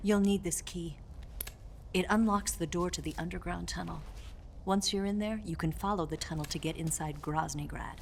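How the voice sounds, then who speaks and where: A sly, low-voiced seductive spy. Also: female voice, moderate pace, a little hiss or hum. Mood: cool briefing. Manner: teasing, seductive, breathy at times. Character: sly, flirtatious female double-agent spy, cool and teasing. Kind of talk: dramatic video game cutscene acting. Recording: music underneath. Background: cinematic game music.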